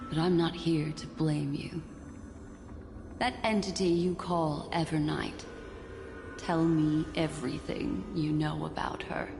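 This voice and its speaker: A woman voicing a composed, grave female investigator in a video game, speaking in a cool, deliberate manner. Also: low voice, vocal fry, exaggerated voice. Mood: stern. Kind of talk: dramatic video game voice acting.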